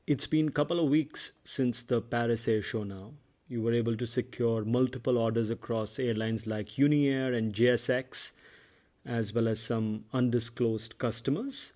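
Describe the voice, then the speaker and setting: A man's voice: medium pitch, steady tone, normal speed, a little mellow. A man hosting a podcast, delivering polished, scripted introductions and questions. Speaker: podcast host. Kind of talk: podcast interview.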